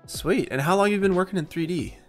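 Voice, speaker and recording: A man's voice: high voice, fast talker, soft background music. Upbeat young man hosting a livestream. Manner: upbeat, gushing praise, laid-back slang. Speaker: livestream host. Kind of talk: casual live interview.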